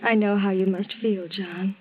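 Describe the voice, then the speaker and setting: An actress playing a sweet, devoted young woman in love, soft and breathy, in a 1940s radio melodrama. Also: medium pitch, fairly even intonation, normal speed, natural voice. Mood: gentle, concerned. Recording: dull, lo-fi 1940s radio recording.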